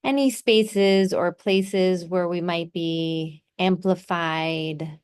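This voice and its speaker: A woman guides a meditation in a smooth, clear voice that sits a little low. She speaks very slowly and soothingly, leaving space between short phrases as she gives gentle instructions. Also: fairly even intonation.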